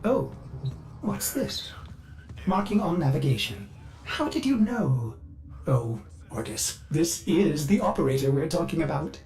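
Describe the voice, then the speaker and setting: An actor playing an over-eager, fussy artificial intelligence that serves aboard a spaceship in a video game. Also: male voice, on the high side, very animated, a little slow, a little mellow. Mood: curious, uneasy. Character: chirpy, bug-addled male ship AI, eager servant with dark glitches. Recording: music behind the voice.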